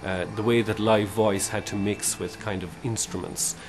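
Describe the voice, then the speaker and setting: A man giving a thoughtful documentary interview, pausing on fillers as he weighs his words. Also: lowish voice, steady tone.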